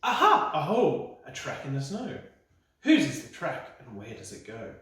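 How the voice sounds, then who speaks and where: A man's voice: mid-range voice, wildly swooping pitch, normal speed, a bit bright. A man voicing a curious, brave young female monster child in a children's storybook read-aloud, eager and exclaiming. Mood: excited. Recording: echoey room, distant mic.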